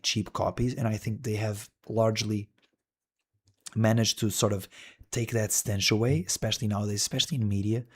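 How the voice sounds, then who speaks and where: A man's voice: on the low side, breathy edge. A male streamer talking casually and wryly while browsing a list, rambling with frequent "dude" and "man".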